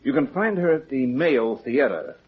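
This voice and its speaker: An actor playing a worried, well-off bridegroom being blackmailed in a 1950s radio drama, earnest and emphatic. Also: male voice, medium pitch, very animated, normal speed, background noise, natural voice. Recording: muffled 1950s radio recording.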